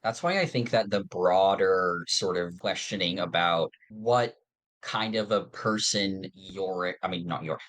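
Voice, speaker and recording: A distinctly low voice co-hosts a fan podcast in relaxed conversational style. The talk rambles, with slang and occasional mild swearing. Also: unhurried. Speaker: podcast co-host. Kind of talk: casual fan discussion.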